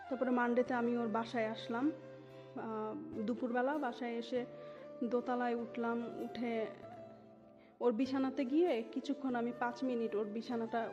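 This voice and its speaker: A woman's voice: a little high, darkish tone, muffled recording. A woman telling her personal story emotionally as an interview guest, mixing in English words.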